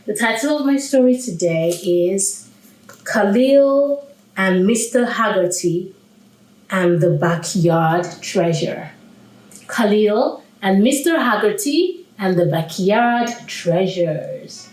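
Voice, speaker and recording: A woman's voice: mid-range voice, expressive, takes it slowly, darkish tone. A woman hosting a children's read-aloud, talking playfully to young listeners and asking them questions. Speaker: children's storyteller and host. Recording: roomy echo with music.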